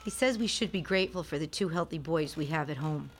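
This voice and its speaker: A woman's voice: low voice, quick pace, a little hiss or hum, close to an everyday voice. A woman acting in a radio play as a tired, practical mother who is direct and no-nonsense. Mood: weary, downcast. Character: tired, blunt, practical mother of a sick baby.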